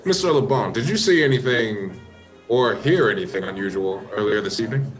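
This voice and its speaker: An actor playing a polite, probing American police inspector with measured, courteous questioning in a radio play. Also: male voice, on the low side, animated, faint music behind, lightly acted. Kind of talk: radio play detective dialogue.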